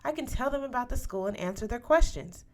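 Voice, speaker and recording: A woman reading the part of an eager, encouraging male school recruiter in a story, with a polite, enthusiastic pitch. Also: lively intonation, quick pace, close to an everyday voice. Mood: upbeat, encouraging.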